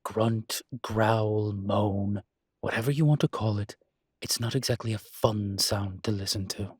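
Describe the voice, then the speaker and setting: A man's voice: fairly low pitch, fairly even intonation, unhurried, husky, no echo, clean studio sound. A man playing a refined incubus demon professor in an intimate audio roleplay, explaining things in a hesitant, genteel and slightly irritated but patient manner. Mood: exasperated, explaining.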